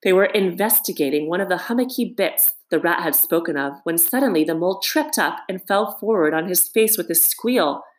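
Animated woman reading a storybook. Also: fairly low pitch, fairly quick, few pauses. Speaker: storybook reader. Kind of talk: scripted storybook narration.

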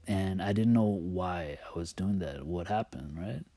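A man telling personal stories in a casual, confessional way, halting and thinking aloud as he often loses his thread. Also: low-pitched, mellow, dry recording.

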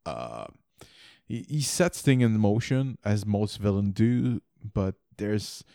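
A man's voice: on the low side, expressive, slow pace, gravelly, mellow, clear, resonant tone, dry recording, clean studio sound. A man from French Canada, a native French speaker, co-hosting a casual book discussion with stammering restarts. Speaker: podcast co-host.

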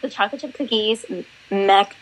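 A woman's voice: medium pitch, moderate pace, rough recording. A young woman chatting casually with friends, giggling as she reads quiz options aloud. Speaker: quiz reader.

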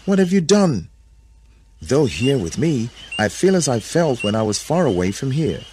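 Man performing commanding first man, suspicious, demanding, loud, swooping raised pitch, story drama. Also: sing-song, moderate pace, slight background noise, natural voice. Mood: alarmed, accusing.

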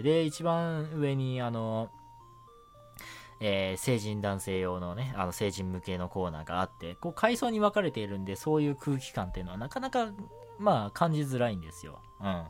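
A young man hosting a casual solo radio chat in a rambling, giggly, self-deprecating way. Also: lowish voice. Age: young adult. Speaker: radio show host. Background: background music.

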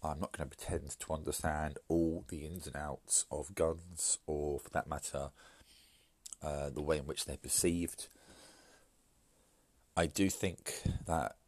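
Very deep-voiced stuffy podcaster. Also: male voice, fairly even intonation, a little mellow, no echo. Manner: measured, hesitant with fillers and restarts. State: congested from hay fever. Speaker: podcast host. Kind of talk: solo podcast commentary.

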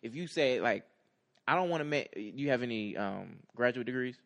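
A man in his twenties in casual conversation, haltingly circling back over his phrases as he makes a point. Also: mid-range voice, animated, vocal fry, a bit bright. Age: 20s. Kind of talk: casual chat.

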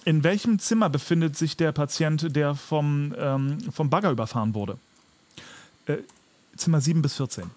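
A man's voice: on the high side, moderate pace, a little mellow. A man co-hosting a podcast in casual comedic chat, joking and making fun of himself. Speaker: podcast co-host.

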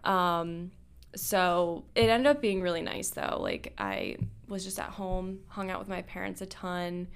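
Young woman from Nebraska, co-host, chatty and quick to laugh, slightly low voice. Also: steady tone, vocal fry, clear, resonant tone. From Nebraska, USA. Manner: chatty, laughs often, lots of "like". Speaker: podcast co-host. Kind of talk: casual chat.

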